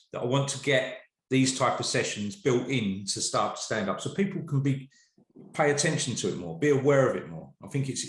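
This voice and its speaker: A man's voice: on the low side, roomy sound. A man hosting a webinar, speaking hesitantly with many fillers over a video call. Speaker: host, business community founder. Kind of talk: webinar introduction.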